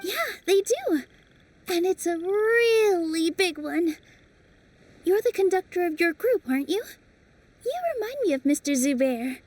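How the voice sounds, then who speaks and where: Game heroine, young dancer, warm-hearted and encouraging, high bright voice. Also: female voice, expressive, a little slow, slight background noise. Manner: gentle, encouraging.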